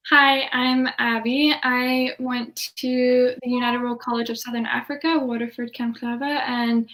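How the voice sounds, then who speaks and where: A young university student introducing herself on a video-call panel, steady and matter-of-fact. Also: female voice, fairly high pitch, unhurried, poor audio. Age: young adult.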